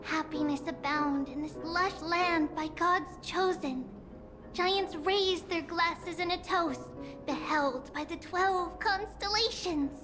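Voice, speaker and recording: A high-voiced, graceful myth teller. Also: female voice, slow pace. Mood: solemn, lyrical. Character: graceful woman storyteller reciting an ancient myth. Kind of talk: poetic recitation in a fantasy video game. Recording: game music loud behind the voice.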